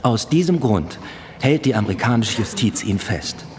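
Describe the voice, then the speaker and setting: An actor playing a guarded, evasive company executive with a formal, measured manner in a radio drama. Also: male voice, lowish voice, lively intonation, darkish tone, in a large hall, close to an everyday voice. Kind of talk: radio drama acting with murmured inner monologue.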